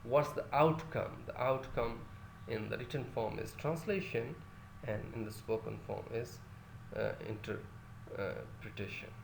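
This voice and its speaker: A man's voice: mid-range voice, measured pace, vocal fry, roomy sound, background noise. A male lecturer whose first language is Urdu, teaching a class with many fillers and restarts and putting questions to his listeners.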